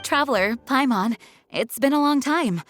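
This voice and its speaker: A woman voicing a composed, dutiful knight leader in a game cutscene, speaking in a calm, formal and measured way. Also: high-pitched, faint music behind. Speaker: acting grand master.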